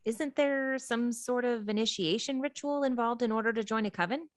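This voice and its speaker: A woman's voice: fairly high pitch, moderate pace, dry recording, clean studio sound, lightly acted. A woman playing an eager, uneasy young woman in a script table read, casual and apologetic. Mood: sheepish.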